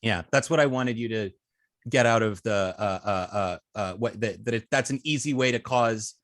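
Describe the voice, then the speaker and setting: A man's voice: on the low side, moderate pace, a bit bright, dry recording, clean studio sound. A man teaching an informal hands-on coding lesson, thinking aloud hesitantly and trailing off mid-sentence. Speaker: web design instructor.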